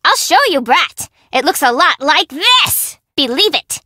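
A woman's voice: high voice, lively intonation, unhurried, bright, forceful tone, no echo, studio quality, heavily acted. A woman playing a perky, sassy young Japanese bento shop owner in a video game, with an informal, teasing, sarcastic manner. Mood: sarcastic, annoyed. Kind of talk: video game character voice acting.

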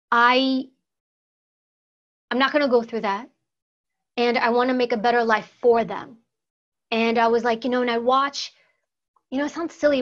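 A woman's voice: fairly high pitch, fairly bright tone. A woman, telling her life story earnestly in an interview and repeating words for emphasis. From United States. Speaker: guest, former secret service agent. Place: plain studio backdrop. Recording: slightly dull lo-fi sound.

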